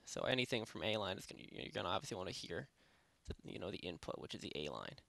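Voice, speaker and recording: A man narrating a software tutorial in a casual, unscripted, rambling way, speaking informally with lots of filler phrases. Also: deep voice, big pitch swings, fast talker, creaky, no room sound. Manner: rambling, informal, repeats "basically" and "you know". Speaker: tutorial presenter. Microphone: close headset microphone.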